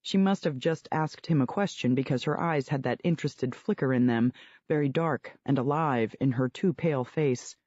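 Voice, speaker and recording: Guy reading an audiobook, warm soft tone, dry kinda muffled recording. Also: medium pitch, moderate pace, dry recording. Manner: steady read-aloud storytelling. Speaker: audiobook narrator. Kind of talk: scripted narration of a novel. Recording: dull, lo-fi dry sound.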